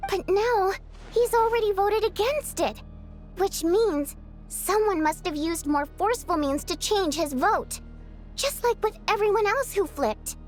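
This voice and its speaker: A woman voicing a youthful, earnest and thoughtful female companion in a story game, explaining things in a measured way. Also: very high-pitched. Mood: serious, analytical. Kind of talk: scripted game story dialogue.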